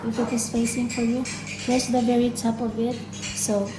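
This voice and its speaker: A woman's voice: fairly high pitch, flat delivery, moderate pace. A woman vlogger giving a casual unboxing demonstration, explaining things simply step by step and often repeating herself. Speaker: childcare educator. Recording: background music. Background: music.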